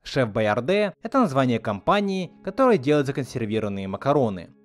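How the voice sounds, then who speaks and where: Animated man narrating a video essay. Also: medium pitch, quick pace, soft background music. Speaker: video host.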